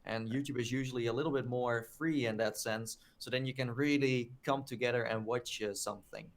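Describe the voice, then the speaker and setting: A man's voice: mid-range voice, fairly even intonation, a little fast. A man with a Dutch accent hosting a podcast in casual chat, loose and rambling, with many fillers and restarts. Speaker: podcast host.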